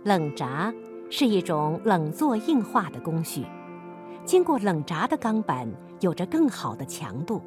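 Female radio drama narrator, slow pace, lively intonation, formal, clear music underneath. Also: fairly low pitch. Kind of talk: scripted narration and credits.

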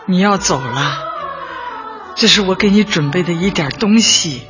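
Kindly old woman community leader, affectionate, emphatic, radio drama, dull recording over clear background music. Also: lowish voice, animated, measured pace. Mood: moved, tender. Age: older. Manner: affectionate, emphatic, sighing interjections. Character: warm, devoted elderly woman community party secretary. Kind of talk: Chinese radio drama acting. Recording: muffled, music behind.

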